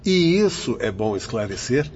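A man's voice: mid-range voice, sing-song, moderate pace, darkish tone, breathy edge, lo-fi sound. A man acting an earnest spirit guardian in a radio soap opera, explaining things formally. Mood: earnest, stern.

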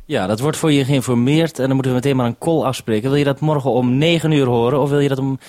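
A man working as a radio presenter, holding a two-way radio conversation in a measured, matter-of-fact, slightly hesitant way. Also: medium pitch, background noise. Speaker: radio presenter and contact operator. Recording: 1971 radio broadcast.

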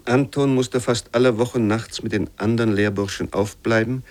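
A man narrating scripted literary prose for a radio play in a measured, formal manner. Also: medium pitch, fairly even intonation.

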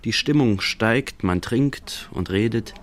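A man playing a wry, measured storyteller, narrating a radio play in rhythmic, deliberate phrases. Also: fairly low pitch. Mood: measured, reflective. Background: quiet guitar music.

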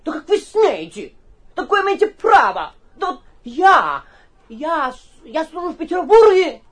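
An actor playing a flighty, cowardly young gentleman from the capital who blusters, stammers and repeats himself in a theatrical radio comedy. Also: very high-pitched, sing-song, unhurried, heavily acted. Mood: indignant blustering. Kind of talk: theatrical radio play acting of a classic comedy.

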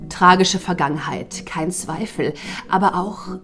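A female therapist acted by a woman in a radio drama, speaking very slowly in a lowish voice over soft music. She is clinical and probing, setting out short, clipped phrases as she appraises her patient. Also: natural voice. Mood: measured, appraising. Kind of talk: German radio drama acting.

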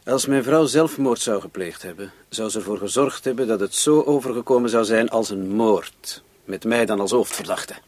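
An actor playing a composed widowed husband in a radio play, explaining himself politely. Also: male voice, fairly low pitch, normal speed, a little mellow, no echo, close to an everyday voice. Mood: earnest, explaining.

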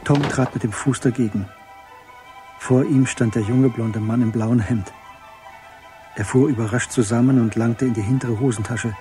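A man narrates a radio drama. His voice sits at a medium pitch. The delivery is measured, scripted and matter-of-fact, with music clearly present behind him. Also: steady tone, warm tone.

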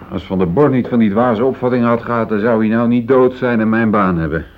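A man's voice: mid-range voice, brisk, slight background noise, close to an everyday voice. An actor in a Dutch radio play, playing a newly promoted, uneasy middle-aged foreman who earnestly justifies himself. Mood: bitter. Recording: muffled phone-like old radio recording.

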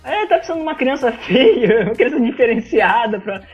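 A man with a Brazilian accent in a casual podcast chat, often doubling up words and starting over in a halting way. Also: very high voice, very animated, breathy edge, faint music behind. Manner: halting, repeats words emphatically. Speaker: podcast panelist. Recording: dull lo-fi voice track.

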